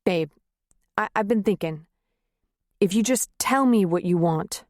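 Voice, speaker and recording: A middle-aged husband, clumsy and pleading, as a woman reads him, gruff one moment and coaxing the next. The voice sits low and plain. The sound is close and dry. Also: a little slow, darkish tone, no echo, close to an everyday voice. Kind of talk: audiobook character reading.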